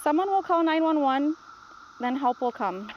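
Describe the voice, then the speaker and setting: A woman's voice: sits high, animated, normal speed, lo-fi sound, a little hiss or hum. A woman voicing a patient, reassuring grandmother who explains things simply in a children's storybook read-aloud. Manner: reassuring, instructive.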